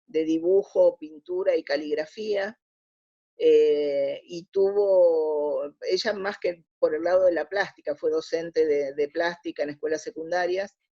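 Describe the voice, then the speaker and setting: A woman in her sixties, speaking with a Buenos Aires accent, heard through dull, lo-fi audio. She recounts memories as an interview guest in an expressive, conversational way, often pausing to search for the next phrase. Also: sits low. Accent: Buenos Aires Spanish. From Argentina.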